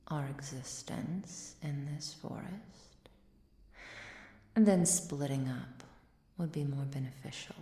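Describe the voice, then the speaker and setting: Woman playing ancient vampire countess, ASMR roleplay, soft whispery delivery, menacing elegance, dark mellow tone, very dry close mic. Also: sits low, roomy sound, full character voice. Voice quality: soft, breathy, often dropping to a whisper. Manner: measured, formal, archaic diction.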